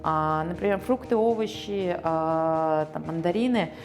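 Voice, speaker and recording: Halting low-voiced woman with creaky voice. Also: darkish tone. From Russia. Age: 30s. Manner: halting, searching for words, mixing in Russian words. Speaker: interviewee, journalist and eco volunteer. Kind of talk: informal interview talk. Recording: music behind the voice. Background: background music.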